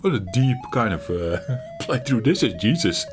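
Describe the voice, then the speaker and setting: A middle-aged man hosting his retro gaming channel, talking while he plays in a halting, reflective way, searching for words and laughing at himself. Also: lowish voice, sing-song, music in the background. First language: Dutch. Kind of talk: reflective gameplay commentary.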